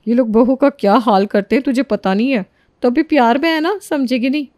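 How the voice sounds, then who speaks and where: A woman's voice: sits high, fairly quick, darkish tone, no echo, studio quality, natural voice. A woman playing a worldly young friend who speaks in a cautionary way in a cartoon story. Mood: insistent warning.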